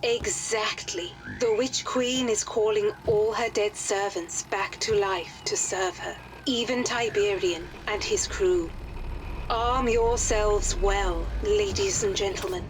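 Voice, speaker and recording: An actress voicing a composed, authoritative aristocratic lady who gives formal, measured mission briefings in a game. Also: mid-range voice, a little hiss or hum, close to an everyday voice, low-quality recording. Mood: grave briefing. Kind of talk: dramatic game cutscene briefing.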